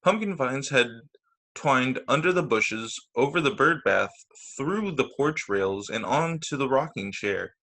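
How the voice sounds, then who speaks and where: Male teacher reading a storybook aloud, mid-pitched. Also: expressive, pushed and bright. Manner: deliberate emphasis on key words, with short asides to listeners. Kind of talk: expressive children's storybook read-aloud. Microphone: video call.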